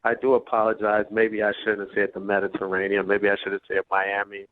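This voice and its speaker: A man's voice: fairly low pitch, completely flat delivery, fairly quick, no room sound. A self-assured, brash man calling in by telephone, talking casually and persuasively. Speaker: phone-in guest on a dating segment. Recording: tinny, noisy phone line.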